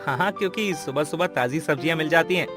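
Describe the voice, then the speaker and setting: A woman voicing grown men in a cartoon story, a loyal servant and his master, in a lowered, eager and obliging voice. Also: fast, fairly bright tone, faint music behind.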